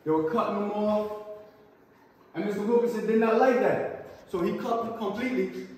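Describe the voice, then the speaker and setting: Echoey high-voiced male tour guide. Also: moderate pace, darkish tone. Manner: emphatic short phrases with check-in tags. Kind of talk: storytelling tour talk to a group. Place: inside a large cave. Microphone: distant camera microphone. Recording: echoey cave, distant camera mic.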